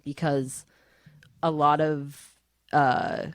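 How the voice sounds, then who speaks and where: A woman with a low-pitched voice moderates a casual panel discussion. She talks haltingly and conversationally, recorded dry with little room sound. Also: flat delivery, no echo. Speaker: podcast moderator.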